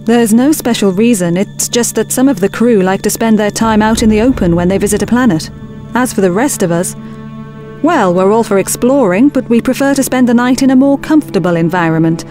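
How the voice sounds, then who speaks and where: A woman acting a skeptical, practical expedition officer in a science fiction audio drama, brisk and matter-of-fact. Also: a little high, expressive, close to an everyday voice. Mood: wary. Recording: music bed under the dialogue.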